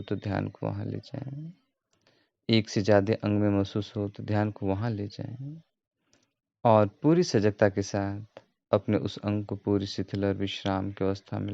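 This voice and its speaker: A man giving guided meditation instruction as a yoga teacher, in a calm, measured, repetitive way. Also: fairly low pitch, vocal fry. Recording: roomy echo, dull muffled sound.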